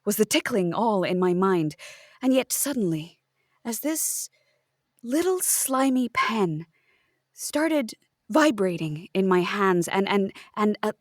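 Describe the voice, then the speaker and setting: A woman performs a nervous hypochondriac writer in a comic hospital drama. The voice is fairly high and dryly recorded. The delivery is halting and theatrical. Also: no echo, clean studio sound.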